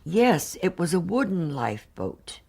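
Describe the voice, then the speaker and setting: Casting: composed woman survivor testifying in a docudrama, lowish voice, animated intonation, formal and precise. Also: creaky, husky, exaggerated voice. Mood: composed, earnest. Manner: measured, formal, precise recounting. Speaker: actor reading witness testimony. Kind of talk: dramatised reading of hearing testimony.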